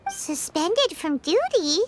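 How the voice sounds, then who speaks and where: A tiny game fairy, with an extremely high, squeaky child's voice. The delivery is lively and swooping, chatty and exclamatory. Clear background noise sits behind it. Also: female voice, takes it slowly, full character voice. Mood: surprised. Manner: refers to self by name, exclamatory. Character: small floating fairy companion, childlike, chatty and curious. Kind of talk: video game character acting.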